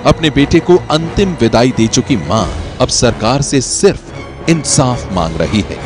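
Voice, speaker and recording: A man's voice: mid-range voice, expressive, a little slow. A man narrating a crime news report from a script in an emphatic, theatrical way. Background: background music.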